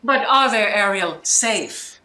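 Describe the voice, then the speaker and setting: Woman as imperious sorceress, bright voice, slow. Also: mid-range voice, animated. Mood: delighted. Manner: grand, measured, authoritative. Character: commanding, imperious sorceress, mistress of a spirit. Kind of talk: Shakespearean audio drama acting. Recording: roomy remote recording with faint noise.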